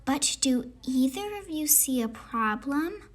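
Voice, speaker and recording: A woman reads as a strict monster in charge of others in a children's storybook. He speaks very slowly and commands like a schoolteacher. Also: high-pitched, expressive. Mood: assessing. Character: stern male monster boss giving orders.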